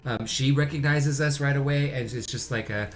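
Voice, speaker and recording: A man's voice: mid-range voice, steady tone, moderate pace. Laid-back rambling man, guitar music behind. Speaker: co-host of a livestream show. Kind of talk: casual chat.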